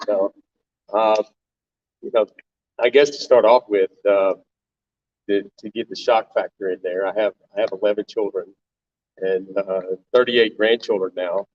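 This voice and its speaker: Older man, co-host, halting speech, low voice, distant mic, dull sound. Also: steady tone. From Tennessee. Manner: halting, with many fillers and restarts. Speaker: co-host, volunteer organization leader. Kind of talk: live panel talk to an audience. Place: stage before an audience.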